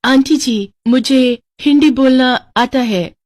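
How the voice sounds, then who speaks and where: A woman's voice: sits high, slow, darkish tone, no echo, studio quality, voice pushed up. A woman voicing a polite young American college student in a cartoon story, speaking in short courteous replies. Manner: polite, brief.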